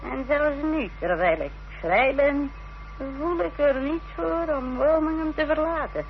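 An actress playing a proud, defiant middle-aged mother in a radio drama, speaking in a haughty, theatrical, deliberate way. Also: sits high, expressive. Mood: proud, self-satisfied. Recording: dull, noisy old radio recording.

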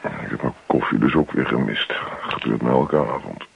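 An actor playing a grumpy, self-pitying husband in a radio play, muttering to himself in a hesitant, put-upon way. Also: male voice, very deep voice, normal speed, vocal fry, breathy edge, heavily acted. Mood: weary, self-pitying. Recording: dull lo-fi radio play recording.

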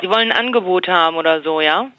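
A woman's voice: on the low side, fast talker, sharp tone. A woman working as a switchboard secretary, explaining insistently over the telephone. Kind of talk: phone conversation. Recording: dull, dry telephone recording.

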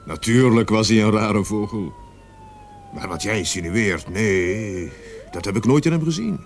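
An actor playing an experienced, gruffly compassionate police sergeant in a Dutch radio play, weary and emphatic. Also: male voice, on the low side, lively intonation, unhurried, slight background noise. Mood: dismissive.

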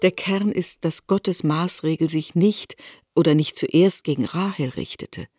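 A woman's voice: low-pitched, measured pace, warm tone, slightly rough. A woman narrating a literary radio reading in a precise, formal way.

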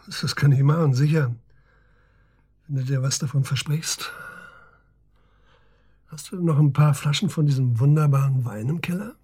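An actor playing a wry, inquisitive middle-aged police officer questioning an old friend in a naturalistic radio drama. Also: male voice, on the high side, moderate pace, pauses often, dark tone, husky. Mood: agreeable. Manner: inquisitive, prodding, familiar.

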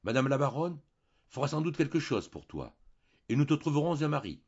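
A man's voice: fairly high pitch, big pitch swings, brisk, slightly rough, lo-fi sound, voice pushed up, full character voice. A man voicing a chatty, moralizing old country priest who turns sly and jovial. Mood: preachy.

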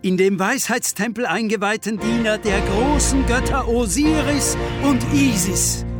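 An actor playing a lofty high priest and ruler, stern but benevolent, in measured declamatory radio drama speech. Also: male voice. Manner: measured, solemn, authoritative. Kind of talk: declamatory classical stage speech in a radio play.

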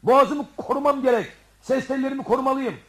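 Vain radio-comedy man, extremely high-pitched, fast, pompous, calling out. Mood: self-important, bossy. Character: vain, self-important man speaking from inside a crocodile. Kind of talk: radio theatre comedy acting.

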